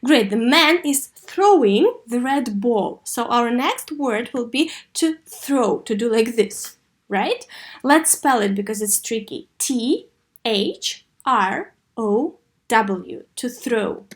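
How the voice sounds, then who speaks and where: A woman teaching an English lesson to young learners, asking questions and answering them herself, with key words pronounced slowly and deliberately. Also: on the high side, lively intonation. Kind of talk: scripted online lesson addressed to young learners.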